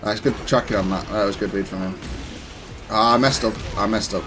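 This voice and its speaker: A man giving casual, analytical commentary on his own gameplay, self-critical but matter-of-fact and even-tempered. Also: on the low side, brisk, over music. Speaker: gaming content creator commentating on own matches.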